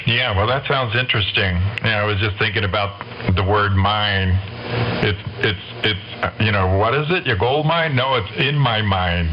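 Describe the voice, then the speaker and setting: A man in a relaxed, chatty exchange as an amateur radio operator, heard over a shortwave link. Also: fairly low pitch, moderate pace. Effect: shortwave radio transmission. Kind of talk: casual rag-chew radio chat. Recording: muffled, hissy amateur radio signal.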